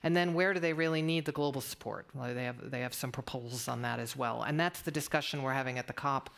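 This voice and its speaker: A woman's voice: rumbling low, fast, creaky, a bit bright. A woman speaking as a government policy expert on a panel, explaining earnestly with hesitant, searching phrasing. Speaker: panelist, government climate official.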